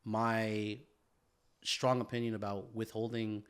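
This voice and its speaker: A man's voice: fairly low pitch, level tone, slow, clean tone. A man, a father, co-hosting a podcast in casual chat, earnest and reflective, often restarting as he searches for words and agreeing with repeated "yeah". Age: young adult to middle-aged father. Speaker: podcast co-host.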